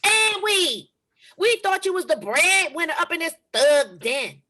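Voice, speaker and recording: An actress playing a sassy nineteen-year-old granddaughter at a table read, scolding in exasperation. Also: female voice, very high voice, sing-song, a little slow, sharp tone, full character voice.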